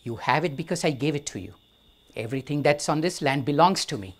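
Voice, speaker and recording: A man playing a haughty old-time king, husky, pushy, bossy, a storyteller's put-on. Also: medium pitch, lively intonation, normal speed. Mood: angry. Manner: demanding, bargaining, commanding. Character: proud ambitious ancient king, male.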